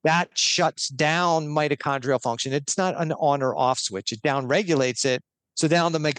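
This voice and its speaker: A man's voice: medium pitch, a bit bright, dry recording. A man talking as a guest expert on a podcast, explaining earnestly step by step with a few restarts.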